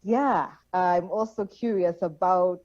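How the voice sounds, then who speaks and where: A woman hosts an online film Q&A with friendly enthusiasm. Her voice is mellow and soft-edged, heard through a dull, lo-fi video call line. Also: fairly low pitch. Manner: friendly, enthusiastic, quick laughs. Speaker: festival moderator. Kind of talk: online Q&A moderation.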